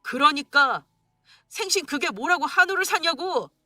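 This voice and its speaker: A woman's voice: high voice, expressive, moderate pace, no room sound, studio quality, faint music behind, voice pushed up, exaggerated voice. A woman voicing a furious, scornful husband. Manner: shouting, scornful and bitter. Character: angry stingy husband, adult man.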